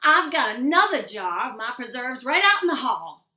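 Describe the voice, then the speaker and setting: A woman's voice: high-pitched, sing-song, normal speed, pushed and bright, roomy sound, full character voice. A woman playing an indignant, bossy small-town contestant in an old-time western radio play. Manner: huffy, assertive.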